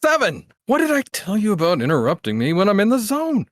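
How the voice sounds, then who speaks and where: A man acts out a grumpy secret agent in a radio-show table read. His fairly high voice swings widely as he grumbles and swears with weary sarcasm, recorded dry. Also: wildly swooping pitch, a little fast, no room sound. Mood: irritated. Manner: exasperated, sarcastic. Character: grumpy caffeine-deprived male agent, intensely focused, sweary. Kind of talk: audio drama table read.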